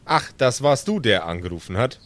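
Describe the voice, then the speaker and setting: A man's voice: medium pitch, sing-song, moderate pace, a bit bright. A man voicing an oddly formal, robotic impostor who poses as human, speaking stiffly and over-politely in an improvised audio role-play. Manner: stilted, over-polite, over-literal.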